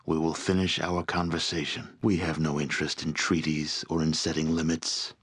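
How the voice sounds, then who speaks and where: Fantasy game chieftain: very deep and rough-voiced, domineering, scornful, with the menace of a vengeful dragon spirit. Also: male voice, completely flat delivery, fairly bright tone, heavily acted. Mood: stern, defiant. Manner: imperious, measured, contemptuous. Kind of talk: dramatic video game voice acting.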